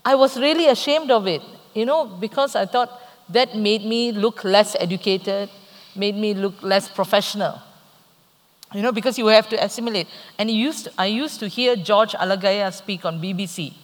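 A woman's voice: mid-range voice, normal speed. A middle-aged woman with a Malaysian accent, speaking candidly and reflectively on a live conference panel. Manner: candid, reflective, with playful asides. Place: conference hall, on stage. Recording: reverberant hall.

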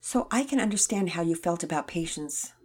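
Woman doing a gentle, reassuring mother. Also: on the low side, animated, fairly quick, slightly rough. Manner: gentle, soothing, preachy.

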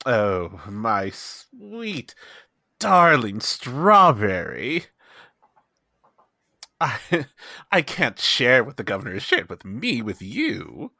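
A man playing a cocky, flirtatious male charmer in a tabletop game, teasing with drawn-out, syrupy endearments. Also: medium pitch, huge pitch swings, creaky, pushed and bright, no echo, studio quality, exaggerated voice. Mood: coy, probing.

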